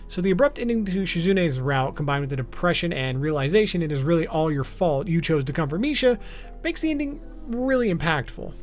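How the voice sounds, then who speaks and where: High-voiced, animated man narrating. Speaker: video essay narrator. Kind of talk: explainer video narration.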